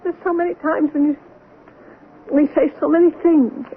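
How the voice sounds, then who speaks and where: Actress doing defensive boozy wife, very high voice, melodramatic, old radio play, thin tinny sound. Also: female voice, steady tone, lightly acted. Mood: defensive, shaken. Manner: halting, melodramatic. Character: bitter hard-drinking wife, defensive, theatrical, suspected of murder. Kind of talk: 1970s radio drama acting. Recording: tinny narrow-band 1970s radio recording, noisy.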